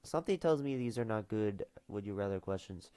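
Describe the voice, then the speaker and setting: A man's voice: fairly low pitch, expressive, fairly quick, mellow, smooth, no echo. A teenage boy streaming casually, mumbling and trailing off with fillers as he reads questions aloud. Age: teens. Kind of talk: casual livestream chat.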